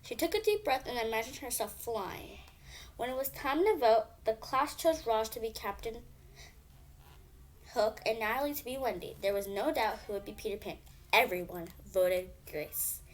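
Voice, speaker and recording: A woman's voice: a little high, normal speed. A female reader telling a children's bedtime story expressively, with emphatic phrasing.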